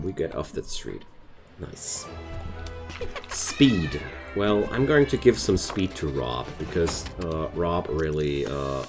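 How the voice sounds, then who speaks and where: A man with a German accent giving casual gameplay commentary, thinking aloud as he plays. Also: a bass voice. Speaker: gaming video creator. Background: music.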